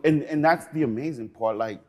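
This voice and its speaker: A man's voice: medium pitch, a little fast, mellow. A middle-aged man from Peru, raised in New York, speaking as a guest in an interview, earnest and passionate. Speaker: museum cultural program manager, guest.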